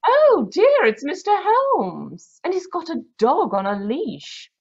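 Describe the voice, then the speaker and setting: A woman's voice: extremely high pitch, takes it slowly, heavily acted. A woman playing a genteel, affectionate and practical doctor's wife in a radio mystery, heard over a dull video-call line. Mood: amazed. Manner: genteel, expressive, wifely. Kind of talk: old-time radio mystery acting.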